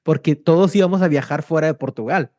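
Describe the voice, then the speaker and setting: A young man from Mexico, hosting a casual interview and telling stories in a slangy, animated way. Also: a little high, a little fast, no echo, muffled recording. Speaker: podcast host.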